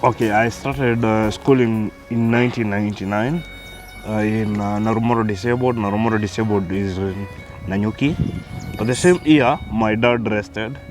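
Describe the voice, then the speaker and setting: A man's voice: on the low side, normal speed, soft background music. A man in his thirties with a Kenyan accent, a guest telling his life story, who hesitates and repeats words as he starts. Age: 30s. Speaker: interview guest.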